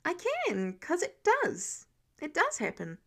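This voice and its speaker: A woman's voice: very high voice, huge pitch swings, takes it slowly, a bit bright, pitched above the performer's own voice, heavily acted. A woman voicing a stubborn, defensive young male superhero with a boyish, protesting manner in an audio story. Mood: indignant.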